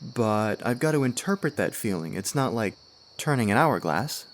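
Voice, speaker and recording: A man's voice: mid-range voice, lively intonation, normal speed, slight background noise, close to an everyday voice. A man playing an earnest, eager young unicorn student of magic in a fan radio drama. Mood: friendly, explaining. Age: young adult.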